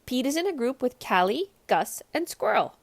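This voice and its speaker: A woman hosting a children's read-aloud, narrating a storybook in an expressive, animated way. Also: high-pitched, normal speed, creaky.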